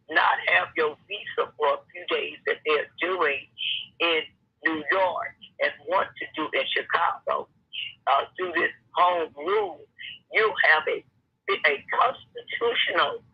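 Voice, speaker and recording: A woman's voice: low-pitched, vocal fry, slightly rough, highs cut off. An older woman speaking as an elder guest on a call-in talk show, earnest and lecturing, often stopping and restarting mid-sentence.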